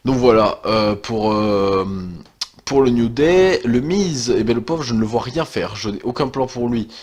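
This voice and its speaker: A man's voice: medium pitch, lively intonation, measured pace, darkish tone. A young man with a stuffy cold, talking casually and hesitantly about wrestling as a channel host, with many fillers and sighs. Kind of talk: casual commentary monologue.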